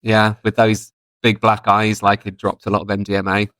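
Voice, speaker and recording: A man in his thirties chatting casually as a podcast co-host, joking in a deadpan way. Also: on the low side, moderate pace, no room sound. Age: 30s. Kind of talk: casual bantering podcast chat. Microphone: online call.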